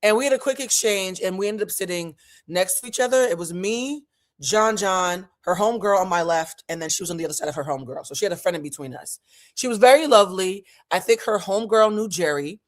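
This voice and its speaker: A vlog host in their forties with a husky voice, chatting casually and impromptu, talking frankly and swearing freely. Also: medium pitch, expressive, a little fast.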